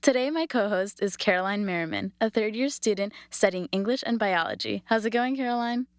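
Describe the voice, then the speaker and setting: A woman's voice: mid-range voice, animated, a little fast, clean tone, dry recording, clean studio sound. A young woman student hosting a podcast, reading upbeat intros and asking questions. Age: young adult.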